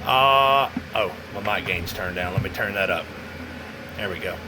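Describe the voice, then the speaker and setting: A man's voice: sits low, normal speed, heavy background noise. A man giving a casual, rambling hands-on product demo, muttering to himself as he goes. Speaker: radio seller demonstrating a CB radio.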